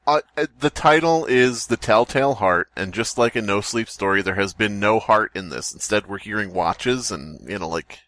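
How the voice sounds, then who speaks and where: A man's voice: fairly low pitch, moderate pace, hardly any pauses, a bit bright, dry recording. A man co-hosting a podcast, chatting casually and riffing for comedy. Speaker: podcast co-host. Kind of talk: casual comic banter.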